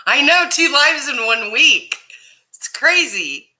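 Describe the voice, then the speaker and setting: A woman hosts a relaxed livestream chat and greets her viewers one by one. Her loud voice carries, with a very bright, forceful edge. She talks at an easy, unhurried pace. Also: high voice, rough recording. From Ohio, USA. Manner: loud voice that carries, chatty and laughing. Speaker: livestream host.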